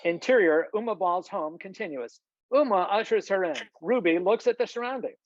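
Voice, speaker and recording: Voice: medium pitch, moderate pace, no echo, lo-fi sound. A narrator reading screenplay stage directions and scene headings in a scripted style. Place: close, dry room on a video call.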